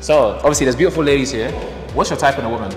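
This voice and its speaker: A young man hosting a dating game show, teasing and quick, repeating words for effect, holding a handheld mic in a studio. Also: mid-range voice. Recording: roomy studio echo with music.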